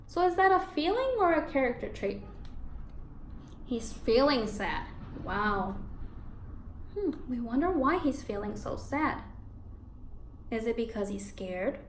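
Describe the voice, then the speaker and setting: High voiced lady teacher reading to little kids, expressive n encouraging, echoey room w some noise. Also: a little slow, pauses often. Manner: encouraging, expressive, repeats and confirms children's answers. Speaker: elementary school teacher. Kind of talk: read-aloud lesson for young children, asking questions.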